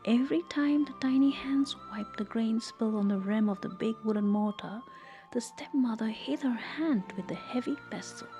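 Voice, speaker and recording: A woman storyteller reading a scripted folktale in a soft, measured, expressive read-aloud way. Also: a little high, faint music behind.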